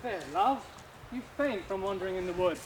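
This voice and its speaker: A man's voice: sits high, normal speed, heavy background noise, close to an everyday voice. A man playing Lysander, an ardent young lover. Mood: tender. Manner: earnest verse, breaks into laughter. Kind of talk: Elizabethan verse drama.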